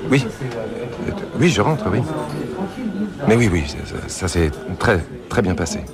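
Low-voiced, stammering businessman. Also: animated. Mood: flustered. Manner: stammering, halting, repeating words. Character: hesitant, polite, anxious businessman eager to please. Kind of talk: radio drama acting. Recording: busy chatter of a crowded bar.

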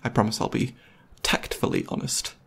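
A man playing a caring, goofy, slightly nervous boyfriend in an ASMR roleplay, chatting intimately and hesitantly to the listener with a husky, slightly gravelly voice. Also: moderate pace, fairly bright tone, natural voice. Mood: earnest, hesitant. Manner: hesitant, rambling, playful.

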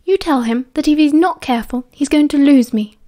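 Woman putting on a soft, girlish, haughty schoolgirl. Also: high voice, a little fast, voice pushed up, close to an everyday voice. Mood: petulant. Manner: coy, entitled.

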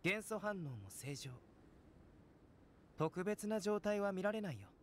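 A man playing a calm, courteous young alchemist in an anime game, speaking in a measured, gentle, analytical way. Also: high-pitched, slight background noise, heavily acted. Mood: calm, explanatory. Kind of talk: scripted anime game dialogue. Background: game music.

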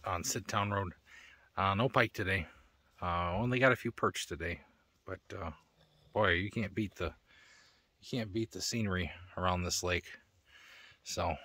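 A man's voice: deep voice, no room sound. A man, casually vlogging to a phone held at arm's length, outdoors and in a car, rambling with lots of fillers. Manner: relaxed, rambling with many fillers. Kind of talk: casual vlog narration.

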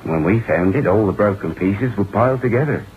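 An actor playing a matter-of-fact police sergeant in an old-time radio drama, speaking in a measured, soothing way. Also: male voice, on the low side, animated, natural voice. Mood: calm, sober. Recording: tinny old radio recording, faint noise.

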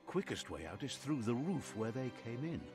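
A man's voice: medium pitch, big pitch swings, moderate pace, darkish tone. A man narrating a video game in a wry, theatrical storyteller manner. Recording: game audio played through stream, faint music.

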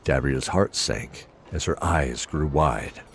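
A man narrating a scripted fantasy story in a measured, dramatic manner. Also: a bass voice, a bit bright, husky.

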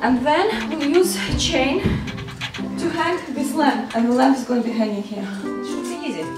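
A woman's voice: high-pitched, normal speed. A woman chatting casually as she helps with a hands-on DIY job indoors. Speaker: helper on a DIY build. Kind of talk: casual chat while working. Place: indoor basement. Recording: echoey room with music over it.

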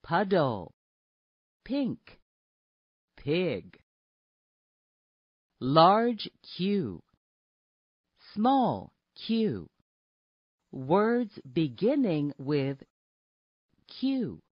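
A woman with a fairly low voice narrates a phonics lesson for little children. She places each word separately and very slowly, with animated intonation. The recording is dry and a little muffled. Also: husky, dry recording. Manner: deliberate, separated words and short phrases. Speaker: narrator of a children's alphabet app.

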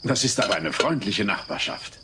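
A man's voice: lowish voice, sing-song, moderate pace. An actor playing a baffled, polite man with an incredulous manner in a dubbed sitcom audio play. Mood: politely evasive. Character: baffled, drawling, polite male Elvis-lookalike collector. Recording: noisy old cassette transfer.

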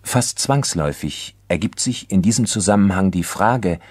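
A sober male crime narrator with a very low voice in a dry recording. He delivers a scripted case report in formal, measured phrases. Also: lively intonation, husky, no echo. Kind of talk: scripted documentary crime radio-drama narration.

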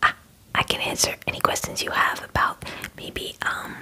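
A woman in her twenties whispering an ASMR roleplay as a friendly werewolf groomer, in a breathy, hesitant, chatty way, close to the microphone. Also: moderate pace, sharp tone. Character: friendly young female werewolf salon groomer. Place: small indoor room at home. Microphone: close microphone, face near camera.

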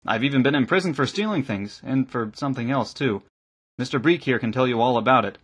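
A man's voice: mid-range voice, a little fast, breathy edge, close to an everyday voice. A man voicing a polite, earnest young working lad from a village in an audiobook. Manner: polite, eager. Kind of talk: audiobook character acting.

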